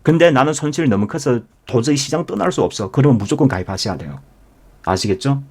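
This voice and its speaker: A man's voice: mid-range voice, expressive, fast talker, a little mellow. A man lecturing live as a stock investment instructor, emphatic, often addressing listeners directly with rhetorical questions. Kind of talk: live market lecture with membership pitch.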